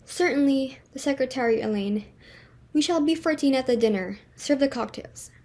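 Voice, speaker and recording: A woman's voice: high-pitched, moderate pace, darkish tone. A woman playing a flustered, imperious society hostess and mother in a dramatic reading of a stage comedy, emphatic and exclamatory. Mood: triumphant, grand.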